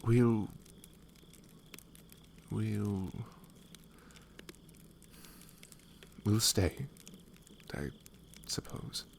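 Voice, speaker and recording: A man voicing a devoted, protective knight, soft, breathy and earnest, in a romantic ASMR fantasy roleplay. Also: lowish voice, very slow, slight background noise, heavily acted. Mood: hesitant, grateful. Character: devoted young knight, protective, wary, tender lover.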